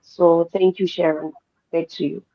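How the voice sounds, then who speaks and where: Slow-speaking Zimbabwean woman, slightly muffled audio. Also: lowish voice, flat delivery, dry recording. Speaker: panel guest, digital business owner. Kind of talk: personal testimony in a live discussion.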